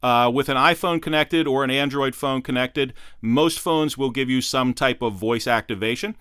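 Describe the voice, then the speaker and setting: A man's voice: mid-range voice, moderate pace, fairly bright tone, clean tone, no room sound, clean studio sound. A man narrating a how-to product demonstration, explaining methodically step by step.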